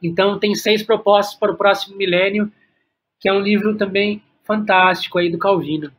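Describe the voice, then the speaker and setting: A man's voice: sits high, fairly even intonation, fairly bright tone. A Brazilian man talking casually as an interview guest, often repeating words as he searches for the next one. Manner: halting, repeats words as he thinks. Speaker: podcast guest, playwright and workshop teacher.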